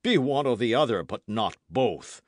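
A man voicing a boastful, loud musketeer in an audiobook, theatrical and full of exclamations. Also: mid-range voice, lively intonation, normal speed, no room sound, clean studio sound, voice pushed up, lightly acted. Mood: indignant, scolding. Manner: theatrical, exclamatory, swooping emphasis. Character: boisterous, boastful swaggering musketeer, loud and self-satisfied.

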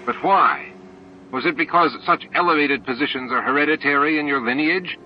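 A man's voice: fairly high pitch, moderate pace, slight background noise, voice pushed up, lightly acted. Man voicing a sneering, angry alien radical, muffled tape. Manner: snorting, sulky, snarling, contemptuous. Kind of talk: dramatized audiobook reading. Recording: dull lo-fi audiobook tape with music.

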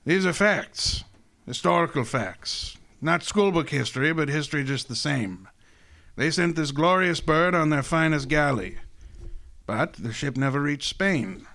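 Male actor as genteel older villain, creaky voice, slightly raised pitch, grandiloquent, scheming, radio drama. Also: animated, measured pace, a bit bright. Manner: courtly, grandiloquent, relishing. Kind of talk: hardboiled detective radio drama acting.

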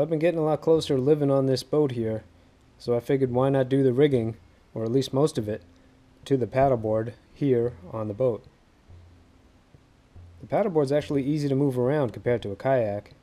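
A man in his thirties casually narrating a how-to project on a docked boat, easygoing and quick to laugh at himself. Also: medium pitch, steady tone, mellow. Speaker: fishing video host.

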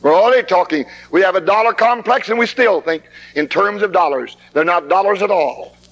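A man's voice: high-pitched, a little fast, a bit bright, lo-fi sound. A man preaching a 1960s camp meeting sermon, fervent and emphatic, recorded on old tape in a hall.

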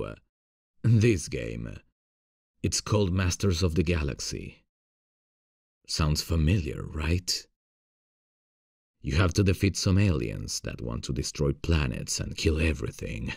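An extremely low-pitched, husky man's voice as a male voice actor plays it in an ASMR boyfriend roleplay. He is a playful, cocky gamer who takes his time over his words and sounds close and dry. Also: no room sound, exaggerated voice. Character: playful frustrated gamer boyfriend, grown man, cocky but affectionate.

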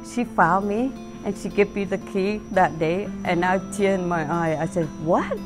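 A woman's voice: medium pitch, moderate pace, over music. A middle-aged woman who owns a restaurant, telling her story with heartfelt enthusiasm in her dining room. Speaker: restaurant owner. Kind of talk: interview testimonial.

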